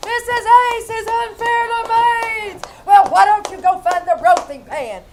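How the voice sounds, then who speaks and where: A woman's voice: very high voice, measured pace, a crowd in the background. A woman playing a scatterbrained, chatty young wife in a 1940s radio comedy, flustered and literal-minded, performed live on stage. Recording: live theater recording with background noise.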